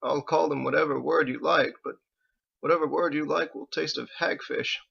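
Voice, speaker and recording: A man's voice: a little high, steady tone, normal speed, gravelly, a bit bright, breathy edge, muffled recording, pitched above the performer's own voice, exaggerated voice. A man voicing a secretive older husband in an audiobook, outwardly fond, teasing and coaxing. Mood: playful, teasing. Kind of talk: audiobook character voice.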